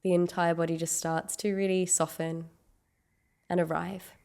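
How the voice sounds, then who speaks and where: A woman leading a guided meditation, giving gentle, unhurried instructions with long pauses. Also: on the low side, little pitch movement, gravelly.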